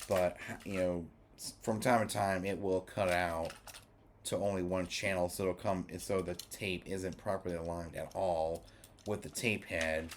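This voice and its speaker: A man giving a casual, rambling review, halting and restating his points as he goes. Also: low-pitched, a little hiss or hum. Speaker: vlogger reviewing music formats.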